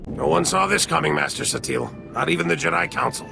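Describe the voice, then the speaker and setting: An actor playing a resolute military general in a video game, grave and commanding. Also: male voice, a little high, brisk, gravelly. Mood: grave, welcoming. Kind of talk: video game character acting. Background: music.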